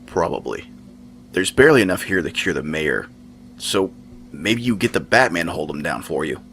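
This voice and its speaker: A man voices a dry, doubtful scientist in a motion comic. The voice is extremely deep and gravelly, wry in manner, with a faint hum behind it. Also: very animated, moderate pace, a bit bright, heavily acted.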